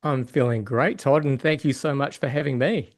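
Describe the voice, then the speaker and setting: A middle-aged man with a New Zealand accent, chatting casually as an interview guest in hesitant, halting phrases. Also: mid-range voice, steady tone. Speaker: podcast guest, software developer and yoga teacher.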